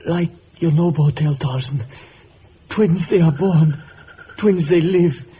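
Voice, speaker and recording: Slow halting tribesman dad, high anxious voice, broken english, muffled old radio serial. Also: warm tone. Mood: sombre, halting. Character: frightened tribesman, grieving father pleading for his life. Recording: muffled old radio recording, faint noise.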